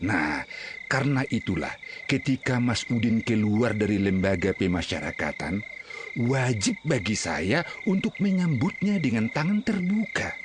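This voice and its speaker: A kindly male neighborhood head speaks in formal, wordy drama style. His low voice swings expressively, with clear cricket and frog noise around it. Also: very animated, unhurried. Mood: earnest.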